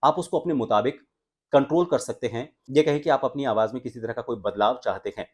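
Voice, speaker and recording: आदमी, वॉइस कोच, हिंदी लहजा, हमिंग करके अभ्यास दिखाता है, मध्यम पिच. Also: male voice, medium pitch, fairly quick, breathy edge. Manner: explanatory, demonstrates humming sounds. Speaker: voice coach and anchor. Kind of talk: voice training lesson.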